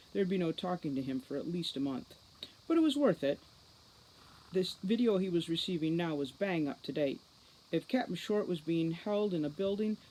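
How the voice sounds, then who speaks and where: A woman giving an expressive scripted narration of a story as an audiobook reader. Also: low voice, a little hiss or hum, low-quality recording.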